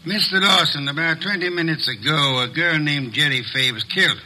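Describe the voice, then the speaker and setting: An actor playing a pompous, exacting master detective in an old radio drama, with formal, precise diction. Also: male voice, a little high, moderate pace, bright, forceful tone, low-quality recording. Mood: stern, probing.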